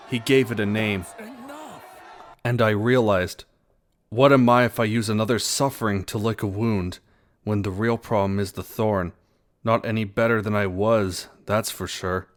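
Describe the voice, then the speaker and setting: A man playing a clever, sarcastic small prey animal in a fantasy audio drama, with a wry, measured storytelling manner. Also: on the low side, natural voice. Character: knowing, sarcastic, sassy small prey animal, world-weary and sharp.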